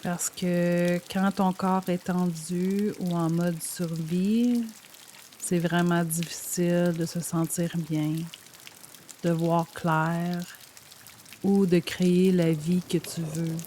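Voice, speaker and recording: A woman guiding a yoga nidra meditation in a gentle, soothing, measured way, leaving pauses between phrases. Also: lowish voice, a little hiss or hum. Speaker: meditation guide. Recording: steady rain sound over the voice. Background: rain sound.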